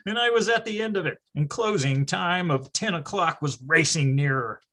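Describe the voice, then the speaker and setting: A man's voice: on the high side, moderate pace, bright, forceful tone. A man playing a wry grown-up looking back on boyhood, narrating in an emphatic, mock-grandiose storytelling manner for readers theatre. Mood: wry nostalgia.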